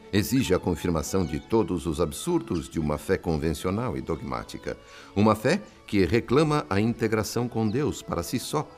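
An actor with a Brazilian accent playing a wise, kindly spirit friend in a radio drama, speaking in a theatrical, didactic way. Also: male voice, sits low, a little mellow. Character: wise, kindly male spirit friend narrating his afterlife story.

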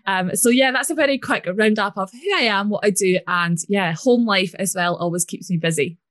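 A woman's voice: mid-range voice, normal speed, no echo. Scottish woman guest, mid-range voice, chatty and fluent, dry close recording, conversational podcast delivery. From Scotland. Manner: chatty, fluent, lively storytelling with fillers like "like". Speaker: guest business and money coach.

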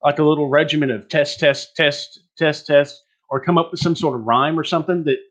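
A man's voice: a little high, steady tone, fairly quick, fairly bright tone. A man from Texas presenting an online teaching webinar in a rambling, joking, self-deprecating way.

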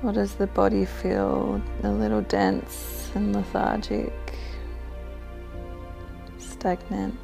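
A woman leading a guided meditation with gentle, soothing instructions. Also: medium pitch, big pitch swings, slow, vocal fry, pauses often, music in the background.

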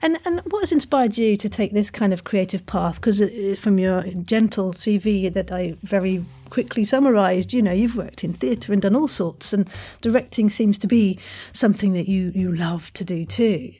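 A woman's voice: mid-range voice, moderate pace, few pauses, dark tone. A woman hosting a casual podcast interview in an effusive, encouraging way, with frequent exclamations.

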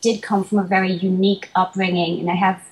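Thoughtful young woman, halting. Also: medium pitch, flat delivery, low-quality recording. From Boston, United States. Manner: thoughtful, many fillers and restarts. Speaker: interview guest, martial artist. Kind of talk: casual interview talk.

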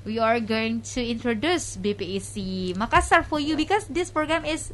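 A woman's voice: fairly high pitch, normal speed. A woman with an Indonesian accent hosting a casual radio talk show in English, friendly and prompting her guests with questions. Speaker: radio host.

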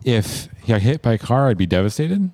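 A man chatting casually with a dry, deadpan manner. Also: medium pitch, a little fast, dry recording. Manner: dry, deadpan, lots of short agreements. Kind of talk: casual chat.

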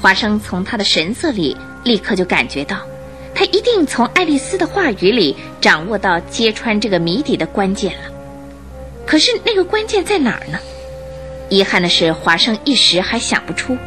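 Animated woman narrating a radio drama. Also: mid-range voice, moderate pace, faint music behind.

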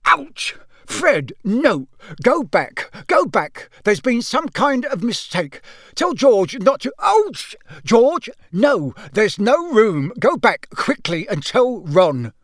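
A man acts out a cheerful wizard father from a storybook, middle-aged and easily flustered. He rambles and apologises with eager excitement in a fairly high male voice. The sound is very dry. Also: moderate pace, dry recording, natural voice. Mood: alarmed, pained.

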